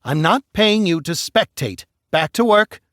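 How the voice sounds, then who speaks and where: A man's voice: on the high side, expressive, unhurried, no room sound, studio quality, pitched above the performer's own voice. A brash, scolding mother, as a man voices her. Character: bossy, short-tempered middle-aged mother.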